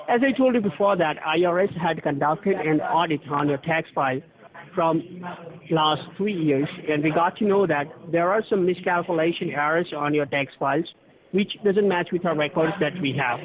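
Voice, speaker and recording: A man's voice: fairly high pitch, normal speed. A man calling by telephone, giving a formal, official-sounding scripted spiel with step-by-step instructions. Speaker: phone scam caller posing as a tax officer. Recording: tinny phone line with crowd chatter.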